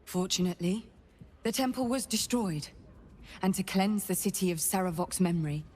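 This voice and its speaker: An actress playing an earnest, dogged city guard investigator in a video game, with an intense, deliberate, confiding delivery. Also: female voice, lowish voice, lively intonation, darkish tone, a little hiss or hum, lightly acted. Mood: grave. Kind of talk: dramatic game dialogue. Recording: background music under the voice.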